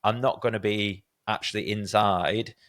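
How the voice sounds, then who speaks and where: A man with a lowish, smooth and resonant voice hosts a podcast interview. He talks in a casual, conversational way and tells candid, self-deprecating stories about himself. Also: steady tone, normal speed, fairly bright tone. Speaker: podcast host.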